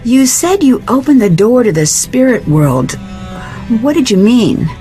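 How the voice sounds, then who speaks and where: A woman's voice: on the high side, very animated, unhurried, mellow, faint music behind. An actress playing a curious, polite young woman detective, asking questions in a video game. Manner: inquisitive, polite.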